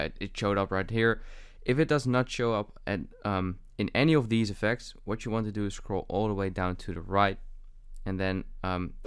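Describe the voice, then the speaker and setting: A man's voice: low-pitched, a bit bright, clear, resonant tone. A young man hosting a casual how-to walkthrough, speaking in an improvised, hesitant way with frequent fillers. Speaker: tutorial host.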